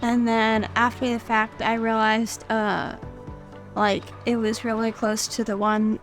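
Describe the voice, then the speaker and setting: A young girl chatting casually as an interview guest, speaking in a halting, hesitant way. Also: on the high side, little pitch movement, soft background music. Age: child or young teen. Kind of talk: casual chat. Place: studio.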